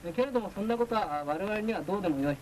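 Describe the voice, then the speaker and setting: A man's voice: high voice, lively intonation, very fast, darkish tone, poor audio. A man delivers a formal military lecture in a stern, declamatory manner. He often drops in hesitation sounds as he instructs. The sound is that of a 1940s film soundtrack with some noise. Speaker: naval instructor.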